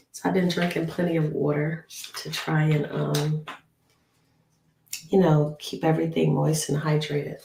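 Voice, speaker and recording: A hoarse woman, a travel nurse, telling a story in a rambling vlog, with many ums and restarts. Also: sits low, slow, long pauses, mellow, a little hiss or hum. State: hoarse from a lingering flu cough. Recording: echoey room.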